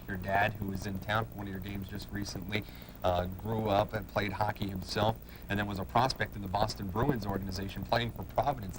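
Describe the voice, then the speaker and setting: A man hosting a sports interview show, asking long, run-on questions like a play-by-play announcer. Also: lowish voice. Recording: clearly noisy background.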